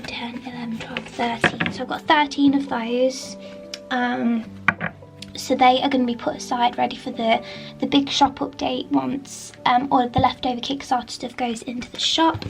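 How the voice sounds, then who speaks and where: A woman vlogging casually from her studio, croaky from a chest infection, chatty with plenty of ums and soft asides. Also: high-pitched. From England. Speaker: vlogger, artist. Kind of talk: casual studio vlog chat. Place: art studio indoors.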